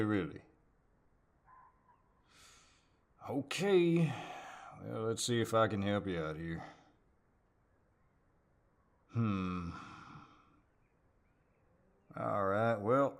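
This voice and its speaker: A man acts out a handsome cowboy neighbor with a low Southern drawl. He speaks very slowly and hesitantly, polite but gently teasing, as in an intimate audio roleplay. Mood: amused, teasing. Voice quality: deep voice. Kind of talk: audio roleplay, intimate one-sided dialogue.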